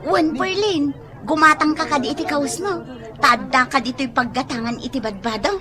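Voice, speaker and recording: Babai nga agak-akting kas nangato ti timekna a managungtot nga asawa. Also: female voice, high-pitched, normal speed, a crowd in the background, close to an everyday voice. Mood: nagging. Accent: Ilocano. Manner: scolding, irritable. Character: sullen, nagging, quick-tempered wife. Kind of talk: Ilocano comedy radio drama acting.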